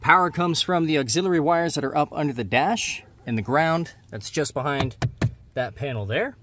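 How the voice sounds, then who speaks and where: A man's voice: fairly high pitch, lively intonation, moderate pace, fairly bright tone, no room sound. A man hosting a do-it-yourself video, explaining an install step by step in a plainspoken, easygoing way. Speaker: host of a DIY vehicle channel. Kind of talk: how-to narration of an install. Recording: noisy, vehicle ambience behind.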